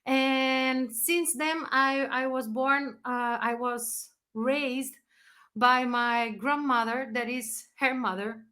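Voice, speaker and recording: Bright, high-voiced Brazilian woman telling stories. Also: slow pace. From Brazil, raised partly in Chile. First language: Portuguese. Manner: expressive, animated storyteller who acts out moments in non-native English. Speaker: musician and music teacher being interviewed. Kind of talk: casual interview, personal storytelling.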